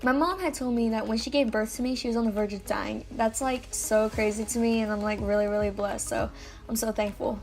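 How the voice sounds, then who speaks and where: A woman's voice: a little high, steady tone, fairly quick. A teenage girl from Louisiana narrating the story of her life in a chatty way, often saying "like". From Louisiana, USA. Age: teens. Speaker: YouTuber. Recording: music playing underneath.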